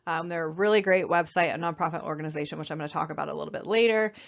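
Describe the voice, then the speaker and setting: A young woman with a slightly raspy voice while getting over an illness, giving a casual, conversational slideshow presentation with many fillers. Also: low voice, racing, highs cut off. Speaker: student presenter.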